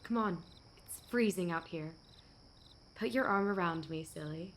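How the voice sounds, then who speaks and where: A woman's voice: on the low side, unhurried, a little hiss or hum. An actress playing a struggling young woman in a radio play, guarded but hopeful. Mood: shy, coaxing.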